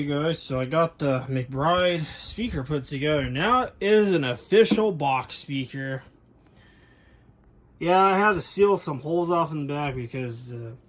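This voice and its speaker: A man with a slightly high-set voice hosts a hobby video. He talks in a casual, hands-on way, as if showing gear to his viewers.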